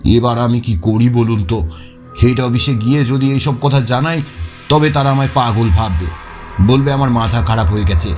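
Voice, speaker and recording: A man's voice: medium pitch, moderate pace, darkish tone, lightly acted. An actor playing a poor, anxious railway signalman who speaks deferentially and confidingly in an audio horror story. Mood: worried, pleading. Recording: music behind the voice.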